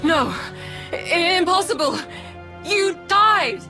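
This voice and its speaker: Game villainess: a scheming Sith scientist, highly animated pitch, haughty and precise, music underneath. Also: female voice, slow, exaggerated voice. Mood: horrified disbelief. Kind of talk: video game cutscene acting.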